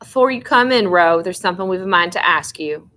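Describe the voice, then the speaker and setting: A woman's voice: lowish voice, animated, brisk, pushed and bright. A woman voicing a stern eldest brother from a rural family in a storybook, accusing and authoritative with folksy phrasing. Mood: confronting.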